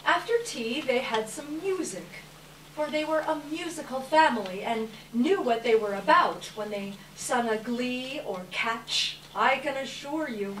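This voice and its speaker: Woman narrator, readers theater, slow deliberate storytelling, emphatic phrasing, distant roomy recording with faint noise. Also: high-pitched, a bit bright. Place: live reading in a roomy hall.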